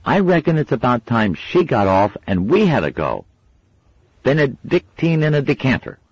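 A man's voice: mid-range voice, big pitch swings, moderate pace, breathy edge, no room sound, highs cut off, pitched above the performer's own voice. A man voicing a complaining young boy in a storybook reading. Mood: grumbling. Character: grumbling young boy, gang member.

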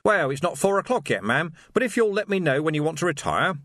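A man's voice: on the high side, big pitch swings, quick pace, a bit bright, no room sound, voice pushed up. A man voicing a weary, dry-witted watch commander, patient and wry, in a storybook reading.

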